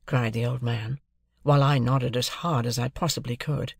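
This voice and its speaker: A male audiobook narrator reads literary prose. The voice is mid-pitched with noticeable creak, recorded dry with no room sound. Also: fairly even intonation, normal speed, no echo.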